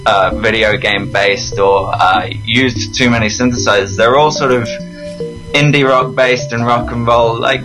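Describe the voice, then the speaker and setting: An English man with a Leicestershire lilt talks through his story in a loose, self-deprecating way. His voice sits at an ordinary middle pitch. Music plays clearly underneath the conversation. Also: low-quality recording. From England. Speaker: guest musician. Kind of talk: casual podcast interview.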